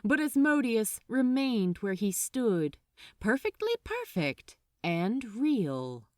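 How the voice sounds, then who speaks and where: Slow, expressive woman narrator with sweeping intonation. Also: fairly high pitch, dry recording, studio quality. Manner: deliberate, expressive, suspenseful. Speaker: fan fiction narrator. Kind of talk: dramatic scripted storytelling.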